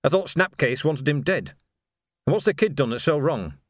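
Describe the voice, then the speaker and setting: A man's voice: on the high side, very animated, normal speed, dry recording, studio quality, pitched above the performer's own voice. Plain-spoken, unflinching watchman, acted by a man. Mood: quietly challenging. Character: quiet, unflinching young watchman, stubbornly questioning.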